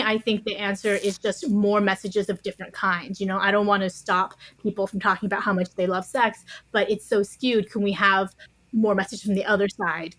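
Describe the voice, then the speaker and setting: A woman's voice: medium pitch, a bit bright, dry recording, poor audio. Woman in a dry, close interview recording. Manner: careful, nuanced, qualifies her points. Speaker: podcast guest, author. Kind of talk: thoughtful conversational interview.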